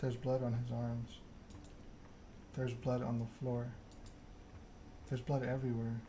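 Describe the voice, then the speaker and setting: A man's voice: mid-range voice, monotone, takes it slowly, mellow. A man reading a story game aloud in an ASMR narration style. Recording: clear background ambience noise.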